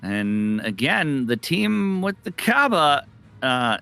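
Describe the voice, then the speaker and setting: Bright, higher-pitched male esports caster. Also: big pitch swings, takes it slowly, creaky, clear, resonant tone, faint music behind. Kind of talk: live game commentary.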